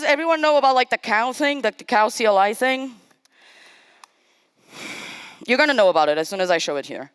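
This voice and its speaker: A woman's voice: on the high side, a bit bright, clean tone, dry recording. A young woman from Portugal, giving a casual, self-deprecating live-coding talk on a conference stage. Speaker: conference speaker.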